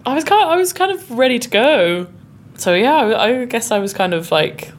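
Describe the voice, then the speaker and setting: A woman's voice: fairly high pitch, very animated, moderate pace. A young woman from the UK, a mezzo-soprano, chatting in an interview with a bubbly, casual manner. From United Kingdom. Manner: chatty, bubbly, uses "like" and "kind of". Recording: faint background noise.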